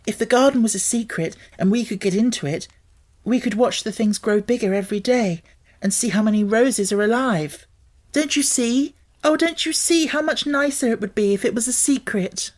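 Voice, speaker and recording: A woman voicing an earnest, excitable young girl who pleads with breathless, tumbling words. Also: fairly high pitch, normal speed, voice pushed up, close to an everyday voice. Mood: eager, urgent.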